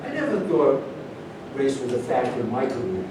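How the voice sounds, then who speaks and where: A man's voice: huge pitch swings, normal speed. A man at a staged reading, playing a defensive, pragmatic golf star. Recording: echoey room from far off, rumbling noise.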